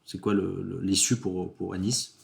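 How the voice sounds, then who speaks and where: Male reporter, low and gravelly, halting questions. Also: fairly even intonation. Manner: halting, searching for words. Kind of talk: questioning an official.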